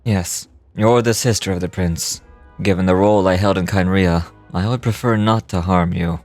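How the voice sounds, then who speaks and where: An actor playing a weary, brooding knight of a fallen kingdom in a video game, speaking in a measured, solemn, formal way. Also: male voice, deep voice, steady tone, a little mellow, soft background music. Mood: solemn, burdened.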